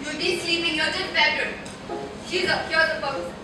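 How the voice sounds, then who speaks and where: A woman's voice: very high voice, flat delivery, fairly quick, pushed and bright, faint music behind. A young woman acting a harried wife who tends her injured husband in an amateur stage comedy. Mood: flustered. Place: college auditorium stage, recorded from afar. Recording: echoey auditorium, distant mic, noisy.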